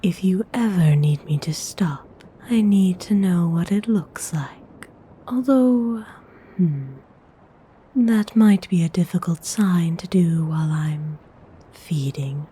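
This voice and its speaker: A woman voicing a gentle, courteous vampire noblewoman in an ASMR roleplay, whispering with breathy, husky, tender formality. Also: on the low side, a little hiss or hum. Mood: warm, attentive. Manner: slow, hushed, tenderly formal.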